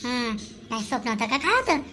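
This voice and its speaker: A woman's voice: on the high side, sing-song, a little fast, pushed and bright, slight background noise. A woman voicing an indignant, nagging middle-aged village mother in a comic cartoon, with a Khandeshi Marathi accent. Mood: shaken, dazed. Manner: nagging, exclamatory, rural colloquial.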